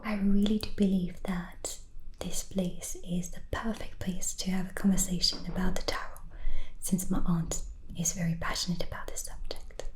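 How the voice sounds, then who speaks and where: A woman whispering in a hushed, gently hesitant way, playing a hospitable witch in an ASMR roleplay. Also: mid-range voice, low-quality recording. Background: background music.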